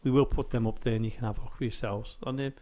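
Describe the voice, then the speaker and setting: A man's voice: medium pitch, steady tone, rapid-fire, mellow. A man co-hosting a paranormal radio show, rambling with many restarts while getting over a chest infection. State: recovering from chest infection, coughing. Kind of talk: casual studio chat. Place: radio studio.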